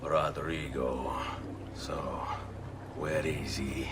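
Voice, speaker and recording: An actor playing a brash, determined young Italian avenger with an Italian accent, intense and dramatic in a video game. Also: male voice, a bass voice, flat delivery, drawn-out words, heavy background noise, exaggerated voice. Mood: defiant. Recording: music behind the voice.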